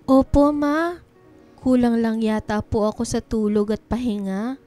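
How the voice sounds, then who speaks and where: A young woman, played by an actress with a high, expressive voice in a radio drama, over a music bed. She has a melodramatic, slightly whiny delivery. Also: measured pace, lightly acted. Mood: subdued, evasive. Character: young woman, exasperated best friend and tired daughter.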